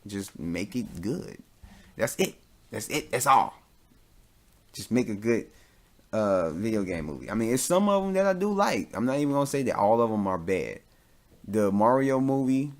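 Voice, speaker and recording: A man's voice: fairly low pitch, darkish tone. A man speaking as a podcast host in an informal, opinionated way, with slangy, casual solo commentary.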